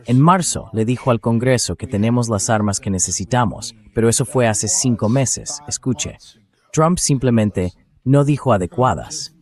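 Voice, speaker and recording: A man's voice: mid-range voice, moderate pace. A man hosting a political discussion, speaking in an emphatic, sceptical way with pointed rhetorical questions. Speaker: talk show host and political commentator.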